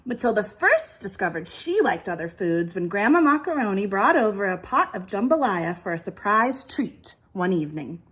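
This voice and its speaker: A woman teacher reading a children's storybook aloud in an expressive, emphatic way. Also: mid-range voice, normal speed, hardly any pauses, husky, low-quality recording. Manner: animated, emphatic delivery.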